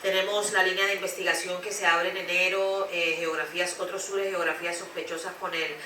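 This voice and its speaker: A woman with a bright, forward voice at a middle pitch, upbeat as she lists events and projects. She is heard from a distance in a reverberant room with faint noise behind her. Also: flat delivery, fairly quick. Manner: upbeat, rattles off plans in lists. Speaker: journalist and cultural organizer. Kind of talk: panel conversation.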